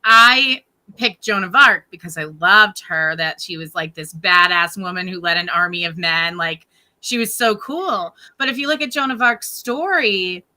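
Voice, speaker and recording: Bright-voiced chatty woman guest. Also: on the low side, normal speed, low-quality recording. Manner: chatty, laughs often. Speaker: guest, ghost tour guide. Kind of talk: casual interview chat.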